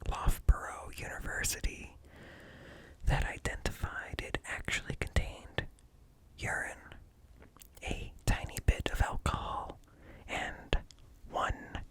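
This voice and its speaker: A man reading aloud in a hushed ASMR whisper, close to the microphone, pausing between short phrases. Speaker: ASMR creator reading encyclopedia articles aloud. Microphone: close-up microphone with ear-to-ear stereo.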